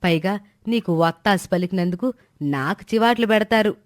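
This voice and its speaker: A woman voicing a stern but soft-hearted older village mother in a moral story, scolding in colloquial rustic speech. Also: medium pitch, moderate pace, close to an everyday voice. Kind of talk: animated moral story dialogue.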